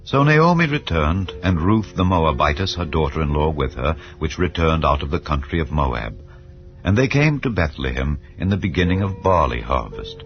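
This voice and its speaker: A man narrating a dramatized Bible reading in a solemn, measured storytelling manner. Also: extremely low pitch, big pitch swings. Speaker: scripture narrator. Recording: slightly dull, soft music behind.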